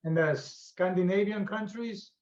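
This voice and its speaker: A man's voice: high voice, a little slow. Male host in a roomy lo-fi room. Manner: searching, restarts mid-question. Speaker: podcast host. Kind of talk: interviewer asking questions. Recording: roomy, dull lo-fi sound.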